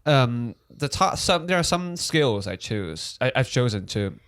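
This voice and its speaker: A young man from Taiwan with a Taiwanese accent, Mandarin his first language, co-hosting a casual podcast and talking in halting, restarting sentences. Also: mid-range voice, sing-song, fairly bright tone, clean tone. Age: young adult. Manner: halting, many restarts and fillers. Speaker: podcast co-host. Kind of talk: casual chat.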